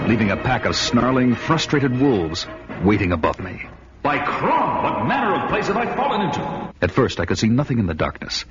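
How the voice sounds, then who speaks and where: An actor playing a gruff, vengeful barbarian hero who narrates his own tale in dramatic radio-play style. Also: medium pitch, muffled recording, natural voice. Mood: commanding. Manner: grave, dramatic first-person narration.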